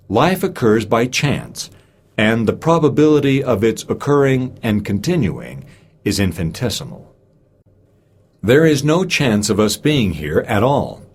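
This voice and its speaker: A man reading a lecture aloud in a measured way, giving expressive emphasis to key phrases and quotations. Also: on the low side, breathy edge. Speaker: reader of a recorded speech.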